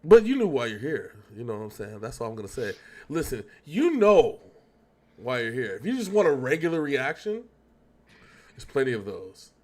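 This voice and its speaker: A man's voice: mid-range voice, very animated, moderate pace, a little mellow. A man chatting casually as co-host of a reaction show, rambling and often correcting himself as he goes. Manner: rambling, talkative, self-correcting.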